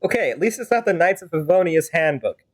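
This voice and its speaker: A woman's voice: fairly low pitch, expressive, fast talker. A woman game streamer improvising wry, teasing commentary and joking replies to the characters. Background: game music.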